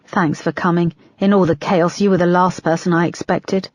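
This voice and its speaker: A woman's voice: fairly low pitch, steady tone, normal speed, a little mellow, no echo, close to an everyday voice. A woman reading an audiobook, voicing a blunt, sarcastic female detective born in London with a broken nose. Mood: wry.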